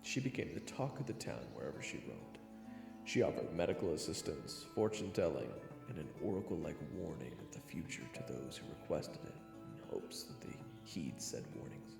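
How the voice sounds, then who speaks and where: A man with an extremely low, creaky voice delivers dramatic scripted fantasy narration. His measured, theatrical reading swings expressively, sometimes dropping hushed. It sits in long reverb with prominent music behind. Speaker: storyteller and narrator of an audio roleplay series. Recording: roomy sound with long reverb.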